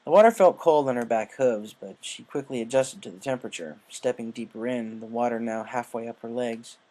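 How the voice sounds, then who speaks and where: A man's voice: medium pitch, brisk, warm tone, poor audio. A man reading scripted fan fiction as a storybook narrator. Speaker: audiobook narrator.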